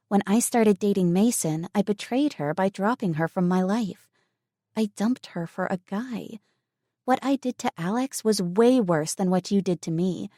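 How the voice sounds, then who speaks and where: A woman voicing a kind, level-headed young woman who talks in an earnest, gentle way. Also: medium pitch, no room sound, clean studio sound, pitched above the performer's own voice.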